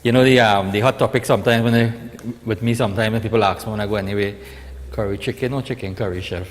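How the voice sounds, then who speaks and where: A man's voice: fairly low pitch, steady tone, quick pace. Trinidadian male chef in a reverberant space. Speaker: chef, cooking segment guest. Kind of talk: casual live cooking chat. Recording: long hall-like reverb on the voice.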